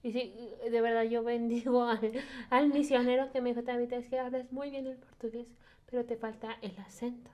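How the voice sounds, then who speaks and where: A woman hosting a podcast, telling lively stories and asking guiding questions in casual conversation. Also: a little high, normal speed, dull audio. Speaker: podcast host.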